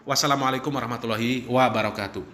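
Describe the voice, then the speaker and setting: A man's voice: medium pitch, fairly even intonation, quick pace, bright, forceful tone. A man giving an Islamic religious talk in an emphatic, didactic way, repeating his key phrases. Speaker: Islamic preacher. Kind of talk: religious talk, rebutting criticism. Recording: echoey room.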